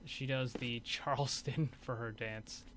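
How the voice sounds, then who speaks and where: A man's voice: mid-range voice, steady tone, a little slow, fairly bright tone, a little hiss or hum. A man giving casual commentary over game footage as a video host.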